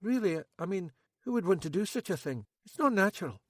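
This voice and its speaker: A man's voice: high-pitched, very animated, brisk, no room sound, clean studio sound, voice pushed up. A man voicing an earnest, eager young constable.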